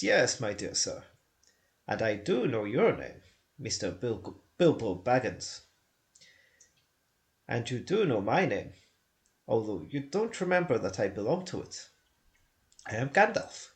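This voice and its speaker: A man putting on a gruff old wizard, deliberate, wise, animated pitch. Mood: indignant.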